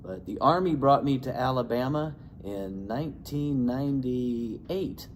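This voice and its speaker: A man in his fifties from Indiana, an Army veteran introducing his veterans group and reflecting earnestly at a measured pace. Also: mid-range voice, expressive, a little hiss or hum. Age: 50s.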